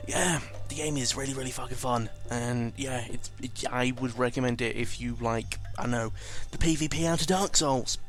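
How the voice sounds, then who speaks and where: A man's voice: medium pitch, normal speed, few pauses, soft background music. A man giving casual, rambling game commentary, informal and sweary, often correcting himself as he goes. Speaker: gaming video commentator.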